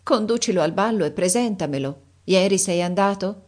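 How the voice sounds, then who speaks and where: A woman voicing a fussy, imperious elderly countess in a read-aloud story, speaking in a shrill, scolding manner. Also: normal speed, a little mellow, close to an everyday voice. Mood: chatty. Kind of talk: audiobook character voice.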